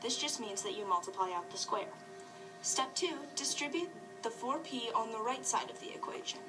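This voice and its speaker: A woman's voice: fairly high pitch, lively intonation, normal speed, over music. A young woman giving a scripted math lesson as a student presenter. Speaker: student presenter in a puppet show. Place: classroom. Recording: distant classroom camera.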